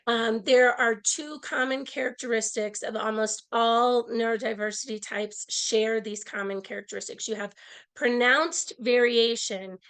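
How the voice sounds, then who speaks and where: A woman presenting an online workshop over a video call, slightly hoarse from a cold, explaining earnestly with frequent restarts. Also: on the high side, fairly even intonation, measured pace, fairly bright tone, low-quality recording. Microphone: earbud mic on a video call.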